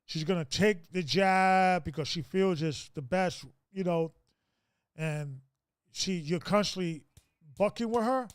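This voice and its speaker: A man's voice: sits high, unhurried, smooth, no room sound, studio quality. A man in his fifties hosting a casual group discussion, preachy and rambling, with many restarts. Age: 50s.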